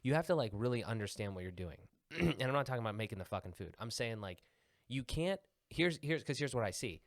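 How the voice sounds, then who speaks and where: A man's voice: mid-range voice, expressive, brisk, no room sound, clean studio sound. A young man chatting casually as a podcast co-host, reasoning aloud and restarting his points as he argues them. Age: young adult. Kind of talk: casual chat.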